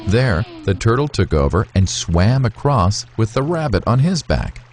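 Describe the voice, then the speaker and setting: A man narrating a scripted moral fable in a measured, instructive way, with deliberate phrasing. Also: lowish voice, sing-song, darkish tone, faint music behind. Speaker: storyteller, narrator.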